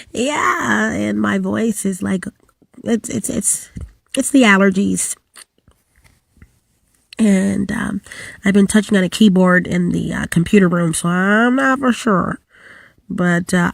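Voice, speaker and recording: Hoarse woman, middle-aged, rambling to-camera vlog, dry close sound. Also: mid-range voice, a little mellow, dry recording. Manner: rambling, many fillers and restarts. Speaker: vlogger. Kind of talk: casual to-camera vlog with announcements.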